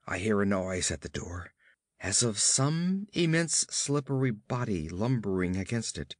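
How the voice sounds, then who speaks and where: A man's voice: on the low side, expressive, measured pace, no echo, studio quality. A man reading a horror story aloud as an audiobook narrator, theatrical and dramatic, drawing out emphatic words.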